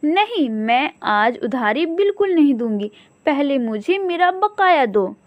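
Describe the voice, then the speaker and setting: Woman playing small sassy bird shopkeeper, high-pitched, scolding, mocking, animated cartoon delivery. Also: unhurried, dry recording, highs cut off. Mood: firm. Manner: scolding, emphatic. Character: small female bird shopkeeper, firm, sharp-tongued, mocking.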